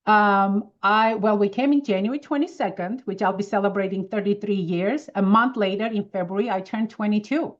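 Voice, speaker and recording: A woman in her fifties from Armenia, speaking English with an Armenian accent, telling lively stories as an interview guest. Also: medium pitch, a little fast, hardly any pauses, dull audio. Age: 50s. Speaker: wellness expert guest. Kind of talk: casual interview answers.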